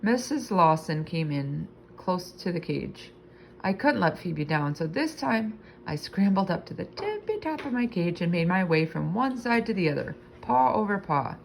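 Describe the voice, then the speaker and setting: Lady reading a kids book out loud, really animated, creaky edge, noisy recording. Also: fairly low pitch, moderate pace. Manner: animated, expressive. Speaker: storyteller reading aloud. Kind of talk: children's book read-aloud.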